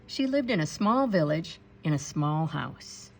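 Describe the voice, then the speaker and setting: Woman storybook narrator: very unhurried, lowish voice with a gravelly edge, expressive delivery for young children. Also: faint music behind. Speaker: storybook read-aloud reader.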